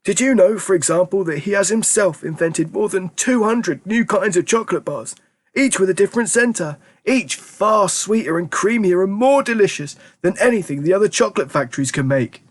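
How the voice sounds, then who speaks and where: A man voicing an excitable ancient grandfather. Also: high-pitched, normal speed, pitched above the performer's own voice. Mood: excited. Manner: exclaiming, emphatic, warmly theatrical. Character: excitable very old grandfather, eager storyteller. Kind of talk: audiobook character reading.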